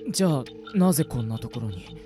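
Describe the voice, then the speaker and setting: A man's voice: medium pitch, lively intonation, fairly quick, over music, natural voice. Man acting a guarded, polite young prisoner. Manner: polite, terse, aloof. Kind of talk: radio drama acting.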